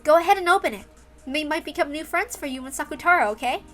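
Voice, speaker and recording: Visual novel mother, a woman acting: high, bright, sweet and indulgent toward a child. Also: fast talker, soft background music. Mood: fond, pleased. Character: tired mother, surprised and fondly indulgent to her child.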